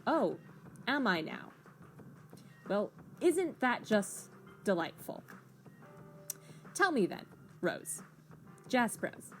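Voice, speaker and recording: A woman's voice: on the high side, big pitch swings, very slow, pauses often, soft background music, heavily acted. A woman voicing a haughty woman politician in a comic audio drama, arch and mock-sweet in her sarcasm. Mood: sarcastic, arch. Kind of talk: hobby voiced comic drama.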